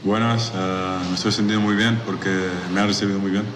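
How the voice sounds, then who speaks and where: Echoey recording of a low-voiced Portuguese sportsman. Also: flat delivery, brisk. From Lisbon, Portugal. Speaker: athlete, team player. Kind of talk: unscripted interview answers in a second language. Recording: echoey reverberant hall, clear background noise.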